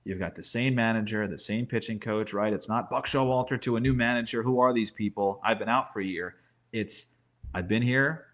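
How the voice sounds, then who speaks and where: A man's voice: lowish voice, a little fast, fairly bright tone. Man talking baseball conversationally. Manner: conversational, thinks aloud, rhetorical questions. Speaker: baseball analyst guest. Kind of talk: casual sports talk, opinionated analysis.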